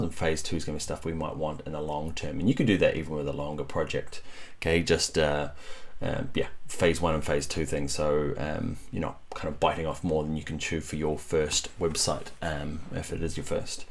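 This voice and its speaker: A man with a deep voice, talking softly at home at night through a casual tutorial, rambling with many fillers. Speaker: web developer. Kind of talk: casual screen-recorded tutorial. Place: home at night while family sleeps. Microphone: computer mic while screen recording.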